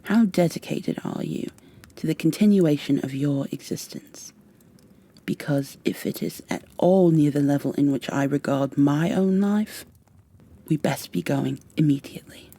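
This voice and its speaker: A woman playing a stern high elf warrior in an ASMR roleplay, with formal, commanding, impatient phrasing and a soft, breathy delivery that often drops toward a whisper. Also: a little slow. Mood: urgent, persuasive. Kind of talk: ASMR roleplay, scripted in-character monologue.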